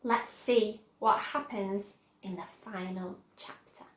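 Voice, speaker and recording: Lady reading a kids book out loud, unhurried n careful, echoey room. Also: mid-range voice, steady tone. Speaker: storyteller. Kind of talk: children's audiobook narration.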